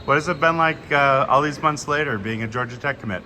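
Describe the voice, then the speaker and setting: A man interviewing a basketball recruit, asking brisk, direct questions. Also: mid-range voice, sharp tone, a little hiss or hum.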